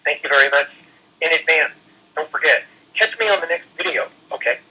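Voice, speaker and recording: A man's voice: fairly high pitch, fairly quick, bright, forceful tone, a little hiss or hum. A man hosting a video talks casually to viewers through a walkie-talkie, muffled and distorted. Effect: walkie-talkie radio. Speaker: host of a DIY video channel.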